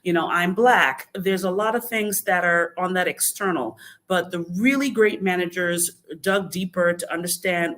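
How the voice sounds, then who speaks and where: Measured woman from the Bahamas. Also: lowish voice, a bit bright. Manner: measured, explanatory, frequent "um" and "uh". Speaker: guest. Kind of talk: conversational podcast interview.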